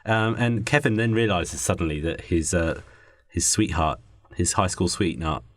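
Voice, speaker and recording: A man's voice: deep voice, moderate pace. A man co-hosting a podcast, casually working through story ideas in conversation. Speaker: podcast co-host. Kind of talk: casual brainstorm chat.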